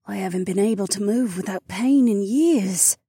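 Woman acting a frail, raspy old dragon countess. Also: mid-range voice, normal speed, mellow, no echo, studio quality. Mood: surprised, weary. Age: older. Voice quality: raspy, breathy.

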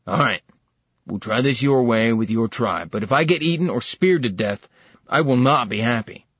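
A man's voice: mid-range voice, expressive, moderate pace, slightly rough, no room sound, lo-fi sound. A man voicing a wry, sardonic dragon shapeshifter who teases dryly. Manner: dry, teasing.

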